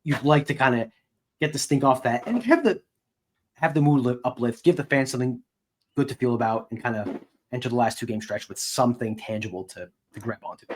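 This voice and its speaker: A man's voice: medium pitch, expressive, a little fast, slight background noise. A man co-hosting a sports podcast, discussing games in a casual, conversational way like a reporter.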